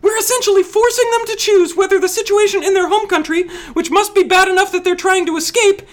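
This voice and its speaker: A woman playing an earnest, indignant female expert in a forced high voice for a scripted comedy explainer. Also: steady tone, brisk.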